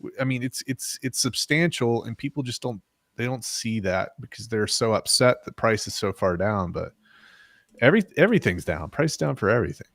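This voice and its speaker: Casting: man, mid pitch, loose chatty guest, rambling speculative delivery, dry close mic. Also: expressive, moderate pace, fairly bright tone, dry recording. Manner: rambling, speculative, many restarts. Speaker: guest, crypto project builder. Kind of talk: casual crypto podcast chat.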